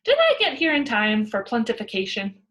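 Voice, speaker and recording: A woman's voice: a little high, huge pitch swings, moderate pace, a bit bright, full character voice. A woman voicing a cheeky, stubborn young girl in a storybook, speaking emphatically and with self-importance. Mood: breezy, cheeky.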